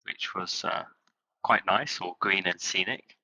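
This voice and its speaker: Low-ish bright voiced guy from england, halting, lots of ums in his answers. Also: slightly rough, rough recording. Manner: halting, many fillers, laughs easily. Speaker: guest, company CEO. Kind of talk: interview answers.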